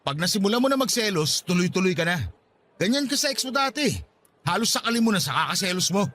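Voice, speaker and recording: An actor playing an exasperated, indignant husband arguing with a jealous spouse in a radio drama. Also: male voice, high-pitched, very animated, fast, a bit bright, slight background noise. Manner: indignant, argumentative. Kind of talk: Tagalog radio drama acting.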